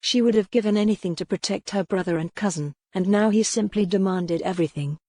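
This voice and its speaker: A woman's voice: medium pitch, a little fast, warm tone, no room sound, clean studio sound. Woman narrating a historical romance audiobook.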